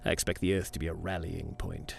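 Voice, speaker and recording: Audio drama authority figure played by a man: lowish creaky voice, resolute, formal, tired. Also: steady tone, fast, noisy background. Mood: firm, formal. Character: dutiful, weary male leader, formal and resolute.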